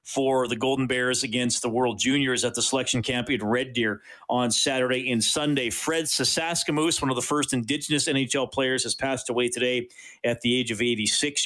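A man's voice: mid-range voice, a bit bright. A man hosting a sports radio show, interviewing a guest in a friendly way with stumbling restarts.

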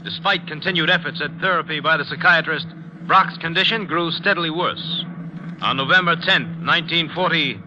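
A male announcer delivers formal narration in a fifties radio manner. His voice sits fairly high, with clear noise from the old broadcast behind it. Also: normal speed. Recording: noisy vintage radio broadcast.

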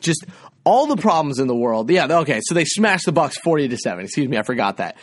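A man's voice: on the high side, big pitch swings, fast, no echo. A man hosting a sports podcast with casual, emphatic, conversational commentary.

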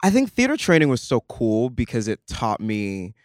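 A young man in his twenties who grew up in Nigeria talks as a podcast guest in a medium voice. He sounds lively and stumbles over repeated words when excited. The recording is dry and close, with no room sound. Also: medium pitch, moderate pace, no echo. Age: 20s. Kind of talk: casual chat.

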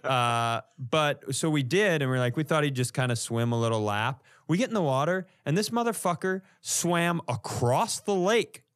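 Animated man telling funny stories. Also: a little high, moderate pace, few pauses. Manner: animated, acts out quoted lines. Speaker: comedy podcast co-host. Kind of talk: casual comic storytelling.

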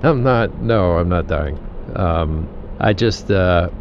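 A man's voice: sits low, expressive, slow pace. An actor playing a middle-aged husband and father, earnest and eager to please, in a naturalistic, stammering delivery. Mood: earnest, remorseful. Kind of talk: naturalistic audio drama acting. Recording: dull sound with traffic noise.

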